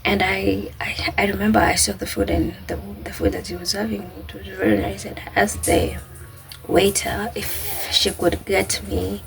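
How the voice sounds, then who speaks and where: A woman vlogger with a Kenyan accent telling a personal story casually, in a halting, conversational way that acts out bits of dialogue. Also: medium pitch, little pitch movement, gravelly, a crowd in the background.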